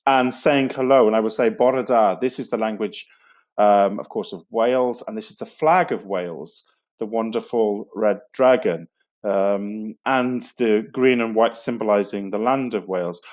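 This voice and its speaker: A man's voice: lowish voice, moderate pace, a little mellow. A middle-aged man, a school leader, giving an enthusiastic, digressive online assembly talk to students.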